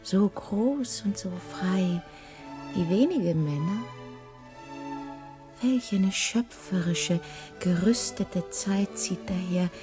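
A woman's voice: mid-range voice, takes it slowly, pauses often, over music, close to an everyday voice. An actress playing a bold, free-spirited, passionate lady of the arts in a literary radio play, theatrical and self-assured. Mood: ardent.

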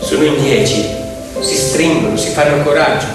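A man's voice: on the high side, moderate pace. Male stage storyteller in an echoing hall. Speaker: actor-narrator. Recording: echoey hall, distant, loud music behind.

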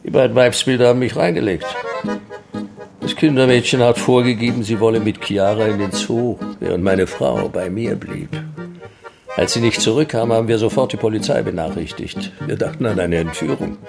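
An actor playing a bitter, arrogant professor, scornful and coarse, with a haughty, cutting manner in a radio crime drama. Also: male voice, on the low side, normal speed, vocal fry, music in the background. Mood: bitter, defensive. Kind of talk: German radio play acting.

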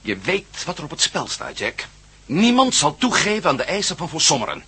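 Raspy, forthright radio-play hero. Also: male voice, a little high, big pitch swings, fairly quick, sharp tone, heavily acted. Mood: grave. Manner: emphatic, hesitating, searching for words. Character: Matt Meldon, earnest, forthright male hero.

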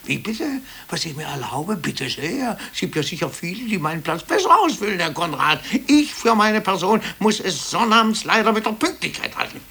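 An actor playing an aggrieved, self-pitying clerk in 1950s radio drama, brooding, grumbling and repeating himself when agitated. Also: male voice, high-pitched, sing-song, moderate pace, vocal fry, few pauses, pushed and bright, husky, full character voice. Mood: defiant, mock polite. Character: aggrieved, self-pitying male office clerk, resentful of his boss. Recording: hissy old 1950s radio tape.

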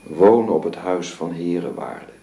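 A man acting in a radio drama as a sorrowful, gentlemanly husband, speaking haltingly and reflectively. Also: low voice. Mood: wistful, brooding. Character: sorrowful husband of a dying woman, gentlemanly and brooding.

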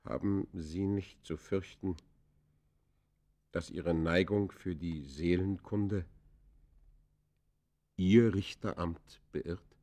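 A penitent criminal in a classic radio play. The voice is very deep and dark, speaking in a solemn, literary manner, halting and brooding as he confesses. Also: steady tone. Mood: earnest, searching. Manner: formal, solemn, halting stage delivery. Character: penitent male criminal confessing his life, earnest and brooding.

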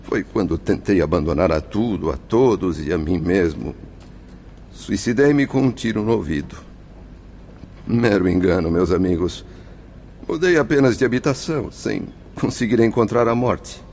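Embittered, impatient dead merchant in radio drama; deep voice, grave confessional delivery, faint ambient noise. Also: male voice, animated, moderate pace, darkish tone. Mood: troubled confession. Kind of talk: Brazilian religious radio drama acting.

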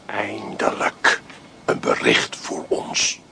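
A man's voice: slow pace, noisy background, close to an everyday voice. Een man speelt een gemaskerde boef in een kinderhoorspel, schichtig en gehaast. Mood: furtive. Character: sneaky masked male crook.

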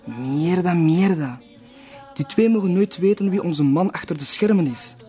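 An actor playing a wary, scheming conspirator in a crime drama, quick to curse. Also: male voice, sits high, expressive, warm tone, soft background music. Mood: worried, scheming. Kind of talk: Flemish broadcast crime drama acting.

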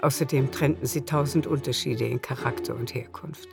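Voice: mid-range voice, moderate pace, vocal fry. Radio play narrator over music. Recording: music behind the voice.

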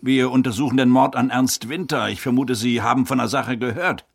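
A male actor in a German radio crime drama playing a sardonic police investigator who questions pointedly, with a gravelly, husky voice. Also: mid-range voice, steady tone, brisk. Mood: probing.

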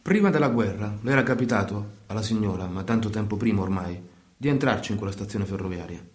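An actor playing a storyteller, narrating a scripted radio drama in flowing, list-like phrases. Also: male voice, on the low side, fast talker, lightly acted. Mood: measured storytelling. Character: male storyteller narrating life in a war-torn town.

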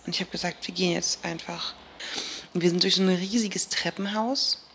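A young woman speaks in a naturalistic radio drama monologue, with a creaky edge to her voice. She is halting and colloquial, searching for words and correcting herself. There is faint noise behind her. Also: fairly low pitch, fairly even intonation, fairly bright tone. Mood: searching, uneasy.

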